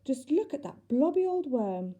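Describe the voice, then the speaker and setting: A woman's voice: sits high, very animated, moderate pace, warm tone, muffled recording, voice pushed up. A woman voicing a whimsical, enthusiastic grown woman in a children's storybook, with an exclamatory, sing-song manner. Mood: fascinated. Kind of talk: children's storybook character reading.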